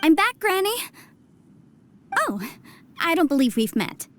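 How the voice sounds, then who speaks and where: A chirpy young lawyer from a fantasy game. Her high voice moves up and down with lively swings, and she delivers articulate, talkative patter like an eager professional. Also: slight background noise, full character voice. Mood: cheerful. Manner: brisk, articulate, self-promoting patter. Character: perky, talkative young female legal advisor. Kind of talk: scripted video game acting.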